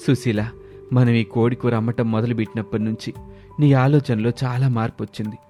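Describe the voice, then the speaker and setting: A man's voice: mid-range voice, little pitch movement, a little fast, warm tone, faint music behind, close to an everyday voice. Man playing an earnest poor village husband. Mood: appreciative. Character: poor hardworking village husband, hopeful and reassuring. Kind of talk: animated moral story dialogue.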